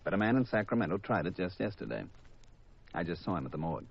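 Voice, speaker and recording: A man's voice: low voice, big pitch swings, fast talker, gravelly, husky, dry recording, exaggerated voice. An actor playing a cynical, long-winded insurance man in a 1950s radio drama, with an exasperated lecturing manner. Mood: exasperated, sardonic. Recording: muffled vintage 1950s radio recording.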